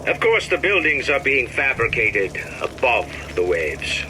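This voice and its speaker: An actor playing a pompous industrialist ruler, delivering theatrical, oratorical propaganda with emphatic grandeur. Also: male voice, medium pitch, sing-song, measured pace, background noise. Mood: proud, calculating. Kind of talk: propaganda address, theatrical game voice acting.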